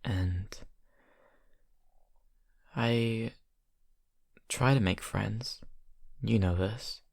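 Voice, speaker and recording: A young man acting a shy college vampire who confides haltingly and softly to his best friend in an ASMR roleplay. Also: fairly low pitch, gravelly, dry recording, studio quality, heavily acted. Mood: wistful, hurt. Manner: hesitant, halting, soft-spoken confiding. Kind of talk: ASMR roleplay, intimate improvised monologue.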